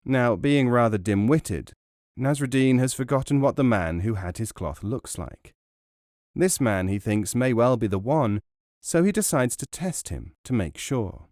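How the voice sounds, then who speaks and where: A man reading an essay as a scripted audiobook narration, in a measured, formal way. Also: medium pitch, expressive, a little mellow, dry recording, studio quality.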